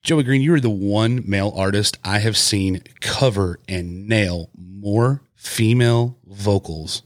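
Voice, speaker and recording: A man hosting a casual podcast, enthusiastic and quick to cut in with praise. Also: on the low side, no echo, studio quality. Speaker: podcast host.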